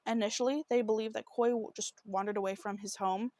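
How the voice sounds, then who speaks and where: A woman hosting a true crime podcast with a slightly rough voice, narrating a case from notes in an apologetic way and often tripping over her words. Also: medium pitch, steady tone, a little fast, warm tone, dry recording. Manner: apologetic, trips over words, many fillers.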